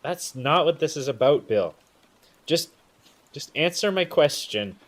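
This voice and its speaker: A man acting a troubled, defensive, self-pitying brother in an audio drama, speaking in halting, broken phrases. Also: a little high, animated.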